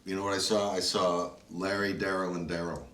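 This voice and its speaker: A man's voice: low voice, little pitch movement, fairly quick, fairly bright tone. A man in the cast chatting casually, musing and reminiscing. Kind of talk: casual chat. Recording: roomy room, distant mic.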